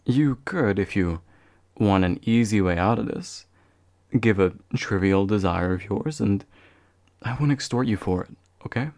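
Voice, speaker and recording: A young man acting as a courteous, gentle demon of envy in a soft-spoken ASMR roleplay, formal and soothing with occasional hesitation. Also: fairly low pitch, animated, a little slow, dry recording, studio quality. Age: young adult. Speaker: voice actor.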